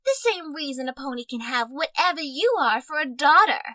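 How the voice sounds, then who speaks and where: High, bright, guarded cartoon girl. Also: very animated, normal speed, dry recording, heavily acted. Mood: confident, sassy. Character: young female newcomer girl creature, guarded, dry, well-spoken. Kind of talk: animated audio drama acting.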